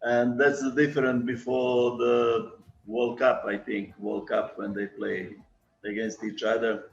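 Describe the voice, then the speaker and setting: Serbian-accented older coach with gravelly voice. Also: male voice, mid-range voice, flat delivery, roomy sound, muffled recording, faint music behind. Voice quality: halting, filler-heavy non-native English, repeats "you know". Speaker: basketball coach. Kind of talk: video interview.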